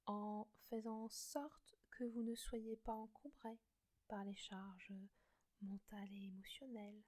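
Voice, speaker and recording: A woman in a soft breathy whisper, gently guiding a meditation close to the mic. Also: mid-range voice, fairly even intonation, a little slow. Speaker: meditation guide.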